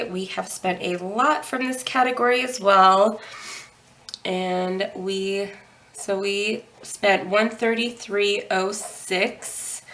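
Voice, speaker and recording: A young woman walks through her budget with a stuffy, nasal head-cold voice. She talks slowly and unhurriedly, with a gravelly edge. Ums and throat clearing come often. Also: medium pitch, a bit bright, poor audio. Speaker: budgeting channel host. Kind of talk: casual budget walkthrough.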